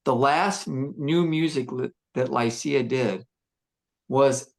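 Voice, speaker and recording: Gruff-voiced man musing in casual talk. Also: mid-range voice, slow pace. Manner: reflective, rambling storytelling with fillers and restarts. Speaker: musician discussing his band. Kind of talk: casual podcast chat.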